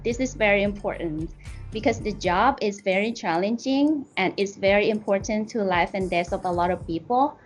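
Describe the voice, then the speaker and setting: Woman, mid-pitched, methodical interviewee explaining her profession, music underneath. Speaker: air traffic controller being interviewed. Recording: soft music behind the voice.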